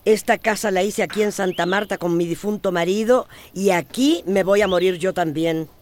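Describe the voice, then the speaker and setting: Radionovela: actriz como viuda centroamericana setentona, orgullosa, terca y mandona; leve ruido de fondo. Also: female voice, medium pitch, moderate pace, darkish tone, a little hiss or hum, natural voice. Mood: stubborn, indignant. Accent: Central American. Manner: bossy, indignant. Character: stubborn, proud widow of seventy-four, mayor's mother. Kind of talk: radio soap opera acting.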